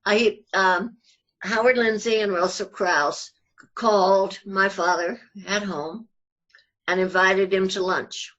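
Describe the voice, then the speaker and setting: An older woman being interviewed, telling family anecdotes conversationally in a halting but animated, storytelling manner, on muffled audio. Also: mid-range voice. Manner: halting, animated retelling with acted-out quotes.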